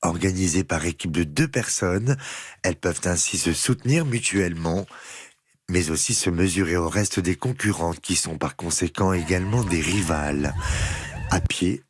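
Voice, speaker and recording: A man reporter narrating a news feature voice-over in a calm, even delivery. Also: deep voice, moderate pace, husky, dry recording, studio quality.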